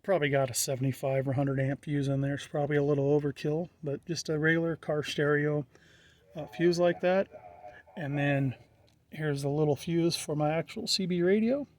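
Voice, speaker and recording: A man's voice: fairly high pitch, steady tone, moderate pace. A middle-aged American man giving a casual, unscripted walkthrough in a plain-spoken, matter-of-fact way. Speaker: hobbyist presenter.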